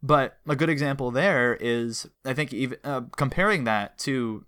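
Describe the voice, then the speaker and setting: A man's voice: medium pitch, normal speed, fairly bright tone, clear, resonant tone. A man co-hosting a podcast, talking casually and analytically in a chatty, explanatory way. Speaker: podcast co-host.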